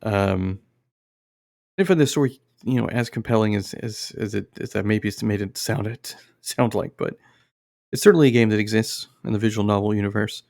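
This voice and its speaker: A man hosting casual, unscripted game commentary, halting and rambling as he searches for words. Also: fairly low pitch, vocal fry, a little mellow. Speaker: host of an indie game review channel.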